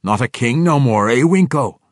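A proud dwarf king stripped of his crown, as a man narrating an audiobook puts him on. His gruff brogue sits well above the reader's own voice and comes close and dry. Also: mid-range voice, animated, moderate pace, no room sound, voice pushed up, close to an everyday voice. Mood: defiant.

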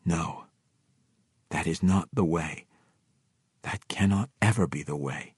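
A man's voice: low-pitched, breathy edge, dry recording, clean studio sound. A man voicing Drizzt, a grave drow ranger. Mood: resolute. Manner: measured, firm. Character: principled male drow ranger, grave and resolute.

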